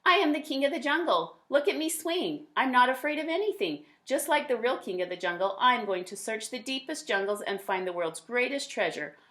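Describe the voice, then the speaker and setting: A woman plays an imaginative little storybook boy who boasts about his make-believe adventures. The voice is lifted in pitch and lively, with emphatic, proud declarations. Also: fairly quick, hardly any pauses. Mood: boastful, excited. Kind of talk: children's storybook character reading.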